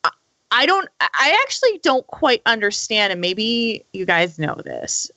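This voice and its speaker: A woman's voice: a little high, big pitch swings, a little slow, pushed and bright, no room sound. A woman on a podcast panel giving her opinions in an emphatic, conversational way. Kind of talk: casual opinionated discussion.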